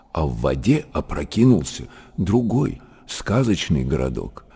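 An actor narrating a monastery tale in a radio play, lyrical and measured, with dramatic pauses. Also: male voice, low voice, mellow, slightly rough, exaggerated voice. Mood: lyrical, reverent.

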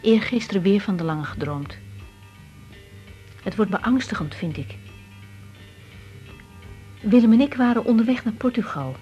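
A woman's voice: medium pitch, animated, measured pace, long pauses, mellow, highs cut off, faint music behind, lightly acted. An actress playing a naive, confiding young woman doctor with emotional delivery in a 1980s radio drama, with a Netherlands Dutch accent. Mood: reflective, troubled.

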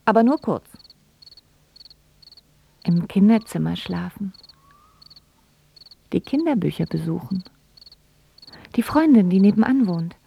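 A woman's voice: mid-range voice, lively intonation, slow, creaky, pauses often, muffled recording. Terse young woman, noisy background. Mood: brooding. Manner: terse, dry, clipped. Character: brooding, prickly grown daughter narrating her own story. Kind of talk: first-person radio drama narration and acting.

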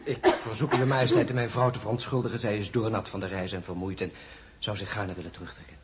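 An actor in a radio drama playing an affectionate, courtly crown prince with a coaxing, formal manner. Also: male voice, lowish voice, fairly even intonation, quick pace, noisy background, natural voice. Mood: formal, deferential.

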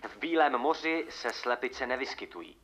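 A performer playing a solemn official radio announcer, reading a news bulletin in a formal, measured way. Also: medium pitch, animated, fairly bright tone, husky.

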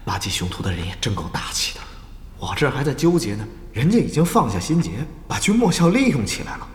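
An actor playing an anxious online gamer in an audio drama, muttering in exasperation and pleading with others. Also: male voice, medium pitch, very animated, fast talker, natural voice. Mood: exasperated.

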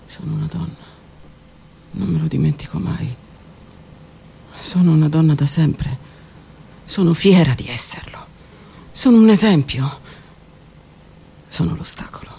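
A woman performing a theatrical one-woman monologue, delivered dramatically, moving between loud outbursts and hushed whispers. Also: sits low, animated, a little slow, gravelly, long pauses, slightly rough. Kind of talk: theatrical monologue broadcast on radio.

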